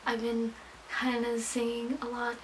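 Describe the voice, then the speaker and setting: A woman's voice: a little high, unhurried, a little hiss or hum, poor audio. A young woman vlogging casually from her bedroom, chatty and bubbly, her voice a bit worn from losing it. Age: young adult. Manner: chatty, bubbly, animated hand gestures. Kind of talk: casual chat to camera. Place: small bedroom full of anime figures, indoors.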